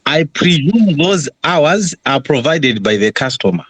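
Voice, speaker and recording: A man's voice: a little high, very animated, a little slow, fairly bright tone, slightly rough, no room sound. A man asking a question in an online class, polite and tentative as he works through it. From Malawi.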